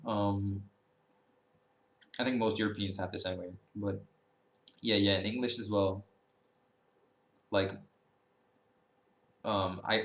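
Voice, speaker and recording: A man's voice: fairly low pitch, a bit bright, reverberant. A man in his early twenties, talking casually to camera in a rambling way, full of fillers and self-corrections. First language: Dutch. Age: 20s. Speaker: language-learning YouTuber.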